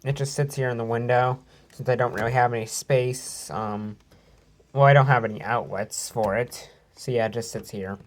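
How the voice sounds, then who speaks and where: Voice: mid-range voice, fairly even intonation, normal speed. Casual, rambling American hobbyist presenter. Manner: rambling, informal, matter-of-fact. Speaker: weather radio collector presenting a collection. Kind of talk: casual product showcase vlog. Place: indoor room at home.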